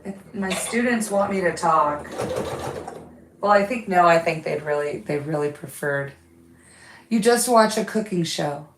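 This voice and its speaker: A woman with a worn-out voice hosts a quilting stream, chatting to viewers in an unhurried, low-pitched way as she works the machine. Her voice echoes off the walls of a sewing room, with a faint hum of noise behind it. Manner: chatty, reads out comments while working. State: lost her voice. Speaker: quilting livestream host. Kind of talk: casual chat with viewers while sewing.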